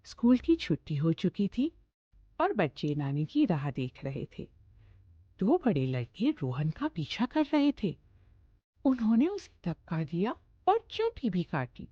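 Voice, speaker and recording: Slow, expressive woman narrating. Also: on the low side, slightly rough, no room sound, dull audio. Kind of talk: storybook narration for children.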